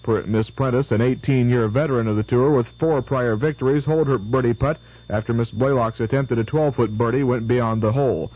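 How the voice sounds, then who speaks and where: A man reading the sports results and weather forecast on radio news in a brisk, even way. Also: medium pitch. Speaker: radio newscaster. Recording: lo-fi 1970s AM radio.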